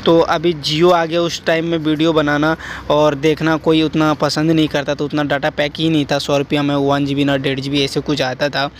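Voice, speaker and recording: Young male motovlogger, rambling commentary while riding, monotone, wind and road noise. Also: fairly high pitch, moderate pace. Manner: rambling, chatty, addresses viewers as guys. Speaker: moto vlogger. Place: outdoors on a motorcycle on rural roads. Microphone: helmet-mounted action camera.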